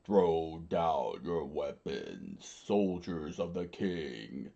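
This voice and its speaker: Slow, deep, commanding king played by a man. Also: big pitch swings, vocal fry, warm tone, dull audio, heavily acted.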